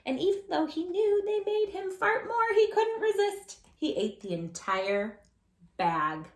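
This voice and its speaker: A woman reading a picture book aloud for children in an animated, playful way, talking directly to young listeners. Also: very high voice, a little slow, reverberant. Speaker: children's storytime host.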